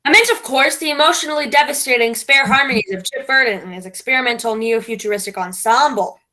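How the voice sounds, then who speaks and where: A woman's voice: fairly high pitch, fast talker, pushed and bright, a little hiss or hum, poor audio. A woman playing a domineering, self-important playwright and director in a theatrical comedy, with a grandiose, imperious manner. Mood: grand announcing. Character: pompous, domineering female radio playwright-director, self-declared genius.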